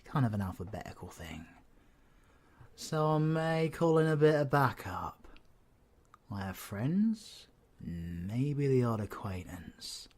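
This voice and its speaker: A British man playing a cocky, menacing, flirtatious supervillain in an audio roleplay, husky and sardonic, often dropping to breathy whispers. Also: mid-range voice, sing-song, slow pace, a little mellow. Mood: exasperated, scheming. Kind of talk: scripted audio roleplay, ASMR drama.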